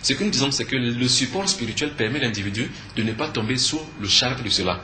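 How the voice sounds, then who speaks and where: A man with a Cameroonian French accent speaks in a sharp, bright voice at a middle pitch. He explains emphatically, posing questions and answering them like a lecturer. The sound is echoey, with faint noise behind him. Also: animated, quick pace. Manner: didactic, emphatic, asks and answers own questions. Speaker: spiritual teacher and conference speaker, interview guest. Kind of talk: earnest explanatory talk in a TV interview.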